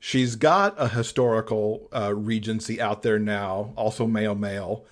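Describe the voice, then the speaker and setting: A man's voice: fairly low pitch, moderate pace. A man speaking as a podcast guest, casually recommending books.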